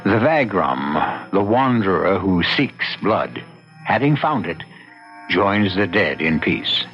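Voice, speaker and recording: A man hosting an old-time radio mystery, narrating ominously with deliberate, theatrical pauses. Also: low-pitched, sing-song. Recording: muffled old radio recording. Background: loud music.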